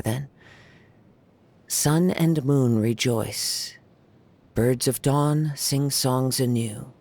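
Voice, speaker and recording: Serene young swordsman poet, soft-spoken and gentle, game character. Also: medium pitch, gravelly. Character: calm young male wandering samurai poet.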